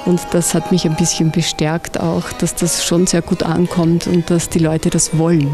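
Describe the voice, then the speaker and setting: A woman's voice: fairly low pitch, flat delivery, normal speed, over music. A middle-aged woman, a festival director, speaking matter-of-factly in an interview inside a church into a handheld mic. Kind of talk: interview answer. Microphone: handheld reporter mic.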